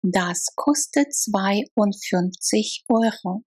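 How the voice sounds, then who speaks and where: A woman teaching beginner phrases in a slow, deliberate drill, saying each phrase in full and then word by word. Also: medium pitch, animated, a little mellow. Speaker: language teacher.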